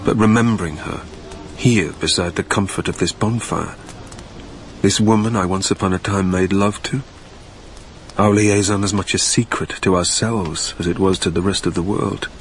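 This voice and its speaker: A man acting a deep-voiced, melancholy ghostly narrator. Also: steady tone, slight background noise. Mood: melancholy, reflective. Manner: reflective, measured, lyrical. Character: weary, melancholy dead man narrating his own haunting. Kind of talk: literary audio drama narration.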